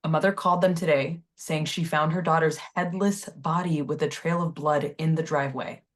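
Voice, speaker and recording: A determined, plain-spoken character in a thriller, read by a woman at a table read. Her voice is a touch low and direct. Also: fairly even intonation, moderate pace, lightly acted. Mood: earnest.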